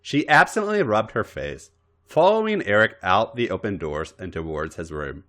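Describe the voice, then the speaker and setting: A man narrating a story aloud in a dramatic, expressive way. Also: on the low side, normal speed. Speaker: podcast host reading fan fiction. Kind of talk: story narration with acted dialogue.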